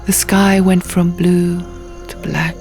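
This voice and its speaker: Female audiobook narrator: unhurried, hushed dramatic fiction read, lowish and creaky, soft music behind. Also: little pitch movement. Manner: hushed, often near a whisper.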